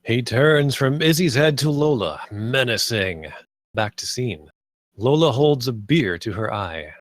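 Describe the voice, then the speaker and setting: A man's voice: medium pitch, animated, unhurried, dry recording. Dry-sounding man reading stage directions. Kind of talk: live script table read narration.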